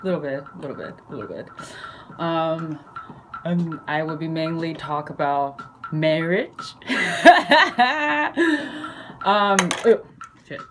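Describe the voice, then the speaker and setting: Slow, halting woman, Chinese native. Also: low-pitched, animated, a little hiss or hum. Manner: slow, halting, playful, with fillers and self-corrections. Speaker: video creator. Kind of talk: casual explainer lesson.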